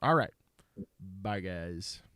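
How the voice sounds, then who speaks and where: A man's voice: low-pitched, lively intonation, drawn-out words, a bit bright, no echo. Man in his thirties, podcast co-host, easygoing banter, close dry mic. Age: 30s. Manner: laughs often, loose and playful. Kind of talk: casual chat.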